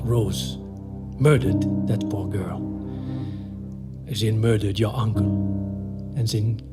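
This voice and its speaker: A man playing a courteous German lawyer in an audio drama, with a German accent and formal, sometimes halting phrasing. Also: on the low side, steady tone, over loud music. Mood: grave, hesitant. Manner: formal, halting word searches.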